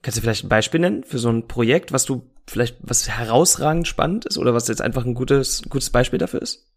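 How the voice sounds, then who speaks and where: A man's voice: mid-range voice, fast. A man hosting a podcast, asking questions hesitantly and rephrasing as he goes. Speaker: podcast host. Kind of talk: interview questions.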